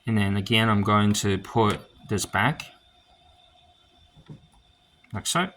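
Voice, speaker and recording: A man giving a casual hands-on demonstration of audio gear, thinking aloud in short, halting phrases. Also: lowish voice, steady tone, fairly bright tone.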